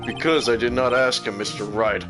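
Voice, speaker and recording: A man's voice: medium pitch, fairly even intonation, moderate pace, over music, voice pushed down, close to an everyday voice. A man voicing an arrogant, domineering prosecutor in a video game, stern and impatient. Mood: imperious. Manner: stern, imperious.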